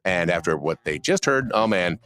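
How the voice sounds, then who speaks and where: A man's voice: low-pitched, animated, normal speed, fairly bright tone. A man reading a wry, produced radio station promo as the announcer. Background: music bed.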